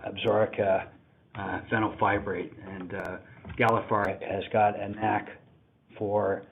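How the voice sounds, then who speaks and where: A male company executive giving halting, measured, unscripted answers on an investor call. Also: fairly low pitch, flat delivery, a little hiss or hum. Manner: halting, measured, frequent pauses. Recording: tinny phone line.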